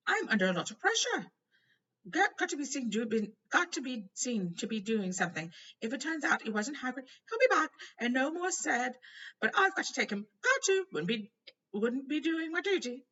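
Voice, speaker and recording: A woman's voice: high-pitched, very animated, normal speed, fairly bright tone, husky, distant mic, voice pushed up, exaggerated voice. A woman voicing an anxious, stammering middle-aged male minister in a storybook reading, pleading and repeating himself. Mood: anxious, defensive. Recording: noisy, dull home recording.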